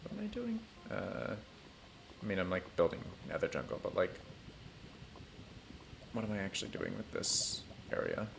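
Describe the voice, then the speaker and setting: Low, gravelly man rambling over background noise. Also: wildly swooping pitch. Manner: rambling, halting, thinking aloud; dry, self-deprecating humour. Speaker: livestreamer playing a building game. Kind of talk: casual gaming stream chat.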